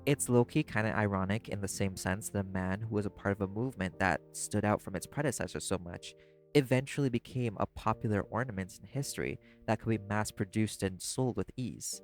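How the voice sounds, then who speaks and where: A man playing a soft-spoken, opinionated vampire who rambles in a scholarly but informal way in an intimate sleep-aid audio roleplay. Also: lowish voice, creaky. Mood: critical, musing.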